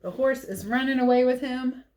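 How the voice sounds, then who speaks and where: A woman reading a picture book aloud to children like a teacher, expressive and chatty, with casual explanatory asides. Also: high-pitched, normal speed, low-quality recording.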